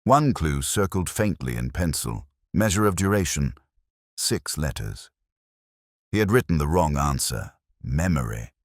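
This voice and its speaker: A man reading scripted first-person narration of a detective mystery in a measured, brooding, literary manner. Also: very deep voice, very animated, no echo, studio quality. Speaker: audio drama narrator.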